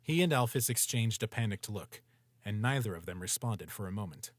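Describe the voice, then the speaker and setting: A man reading a story aloud in a steady, even storytelling manner. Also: lowish voice, fairly quick, no room sound. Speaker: narrator. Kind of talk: scripted story narration.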